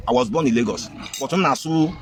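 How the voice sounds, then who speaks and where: A man's voice: medium pitch, animated, quick pace, crowd noise. A Nigerian man being interviewed outdoors, earnest and arguing his point with rhetorical questions. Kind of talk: street interview, mixing English and Igbo.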